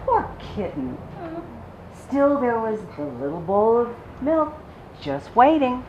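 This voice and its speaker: Lady reading to little kids, super expressive and sympathetic, roomy echo and noise behind her. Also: a little high, takes it slowly, dull audio. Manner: animated, sympathetic storytelling to young children. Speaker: children's storyteller. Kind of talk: expressive picture-book read-aloud. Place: public library story time room. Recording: echoey room with clear background noise.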